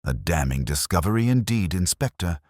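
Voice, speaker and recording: A man voicing a coolly analytical, self-assured detective in a storybook mystery, speaking precisely and deliberately. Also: deep voice, very animated, a little mellow, dry recording, clean studio sound. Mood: probing, restrained.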